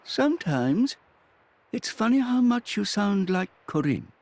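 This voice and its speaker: An actor voicing an ancient, wise male creature who speaks calmly and slowly. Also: high-pitched, exaggerated voice.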